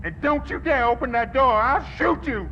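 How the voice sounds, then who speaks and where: An actor playing a frantic, cornered drug dealer in a video game, taunting and whining with a creaky, sharp voice. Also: male voice, very high voice, expressive, moderate pace, lo-fi sound, slight background noise, full character voice. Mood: threatening. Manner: taunting, whiny, stammering protest.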